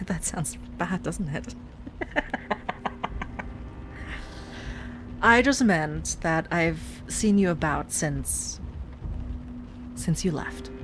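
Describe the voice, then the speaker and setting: A woman playing an obsessive, sweetly pleading ex-girlfriend in an intimate audio roleplay, speaking softly and haltingly. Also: on the low side, background noise. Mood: creepy, possessive. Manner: soft, halting, wistful, with sighs and nervous little laughs. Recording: music under the voice.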